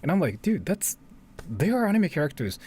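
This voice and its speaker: Guy streaming, chatty, pitch all over, keeps restarting and rambling. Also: big pitch swings, a little mellow, breathy edge. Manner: rambling, halting, repeats himself. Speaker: livestream host. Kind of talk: casual livestream chat and reaction commentary.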